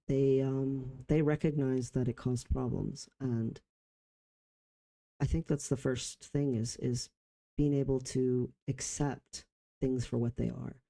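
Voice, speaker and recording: Dark, gravelly man of forty, even-toned. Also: mid-range voice. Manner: halting, reflective, short phrases with pauses. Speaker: vlogger. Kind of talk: personal reflective vlog talk.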